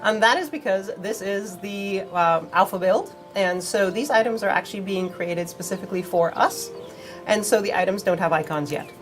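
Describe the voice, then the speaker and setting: A woman's voice: mid-range voice, moderate pace, faint music behind. A woman with a cold, explaining game features casually on a livestream with many fillers. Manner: plain, informative, with fillers. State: has a cold. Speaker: community manager on a game stream.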